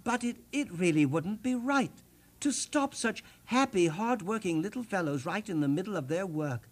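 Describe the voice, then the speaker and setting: A man voicing an earnest, kind young storybook prince full of wonder, with a gentle hesitant stammer. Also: high-pitched, lively intonation, moderate pace. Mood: pondering, considerate.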